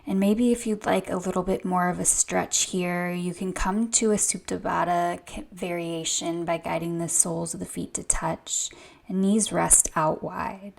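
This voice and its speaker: A woman yoga instructor leading a gentle guided meditation in a soothing, hushed, unhurried way, with a soft voice that turns breathy at times. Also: lowish voice, fairly even intonation. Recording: soft music behind the voice. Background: music.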